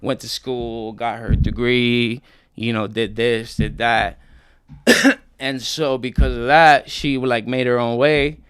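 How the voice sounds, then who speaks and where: A man's voice: mid-range voice, flat delivery, slow pace, a bit bright, clear, resonant tone, no echo. Laid-back young man telling his story. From Colombia. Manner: laid-back slangy storytelling, many fillers. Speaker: interview guest, rapper. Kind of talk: casual interview talk.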